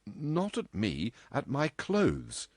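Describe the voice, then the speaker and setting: A man's voice: medium pitch, huge pitch swings, no echo, clean studio sound, voice pushed up. A man voicing a polite young woman secretary, hesitant and easily flustered. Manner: hesitant, halting, stammering politeness.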